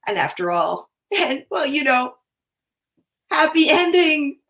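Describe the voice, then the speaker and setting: A woman's voice: sits high, big pitch swings, takes it slowly, fairly bright tone, exaggerated voice. A woman playing a sweet, sentimental theater club member, gushing and dreamy, in a community staged reading. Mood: eager retelling.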